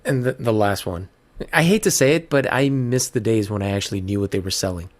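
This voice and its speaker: A man's voice: lowish voice, brisk, no room sound, close to an everyday voice. A man playing a bewildered husband in an audio comedy drama. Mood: weary. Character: Dave, bewildered husband plagued by spam calls.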